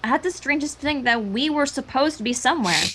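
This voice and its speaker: A woman voicing a relaxed, mildly puzzled young pony princess in a fan reading, with a casual manner. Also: high voice, fast talker, slight background noise.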